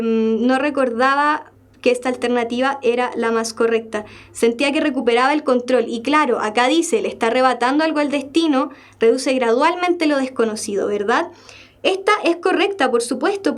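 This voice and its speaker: A young Chilean woman teaching a live exam-prep class. She reads a text aloud, explains it, and keeps checking in with her students. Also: sits high. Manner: lively, checks in with students by asking "¿ya?" and "¿verdad?" again and again.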